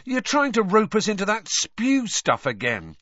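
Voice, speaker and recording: A man's voice: a little high, sing-song, moderate pace, a bit bright, dry recording, highs cut off, voice pushed up. A man voicing a blunt, sardonic teenage schoolboy with an emphatic, complaining manner, in an audiobook. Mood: indignant.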